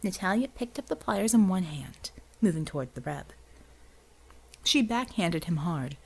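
A woman's voice: lowish voice, lively intonation, unhurried, husky, rough recording. A woman reading scripted, dramatic narration as an audiobook narrator.

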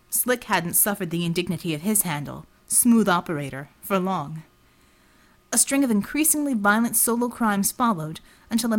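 Lady reading an audiobook story, mid-pitched voice, really varied intonation. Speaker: audiobook narrator. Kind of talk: scripted fiction narration.

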